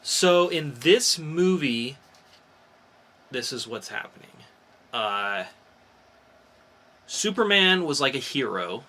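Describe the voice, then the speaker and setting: A man's voice: medium pitch, very animated, takes it slowly, long pauses, pushed and bright, a little hiss or hum. A man hosting a podcast, talking casually about geek news in an informal, explanatory way with some swearing. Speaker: podcast host.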